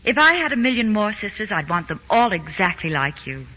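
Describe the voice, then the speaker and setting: Sharp, bright, sensible elder sister. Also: female voice, mid-range voice, sing-song, brisk, rough recording. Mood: composed, dry. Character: sensible, exasperated older sister, professional woman. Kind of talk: 1940s radio comedy acting.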